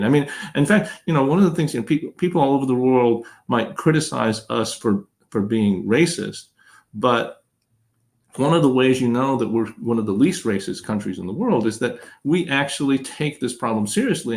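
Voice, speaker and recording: Hesitant male guest, filler-heavy talk. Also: medium pitch. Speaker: interview guest, political candidate. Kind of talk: conversational interview.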